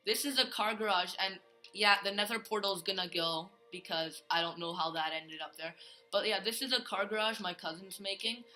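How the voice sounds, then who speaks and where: A man's voice: high voice, pushed and bright, rough recording. A male gaming host giving a casual, rambling tour of a Minecraft build, full of fillers and restarts.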